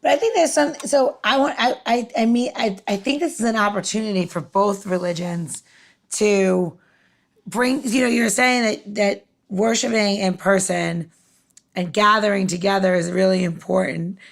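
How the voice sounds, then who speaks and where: Woman hosting a chatty podcast, some vocal fry, kinda rambles while thinking out loud. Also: medium pitch, moderate pace. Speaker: podcast host. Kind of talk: casual chat interview.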